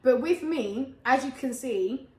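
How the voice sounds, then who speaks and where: A young woman from Colombia, loud and hyper, co-hosts a casual chat and laughs through her points. Her voice sits a touch high. The room around her rings with echo. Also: lively intonation, unhurried. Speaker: podcast co-host.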